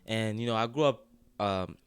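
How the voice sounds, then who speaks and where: Young man from Haiti, low smooth voice. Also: normal speed, dry recording. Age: young adult. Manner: relaxed, repeats "yes, sir" and "like". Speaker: student-athlete guest. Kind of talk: casual interview chat.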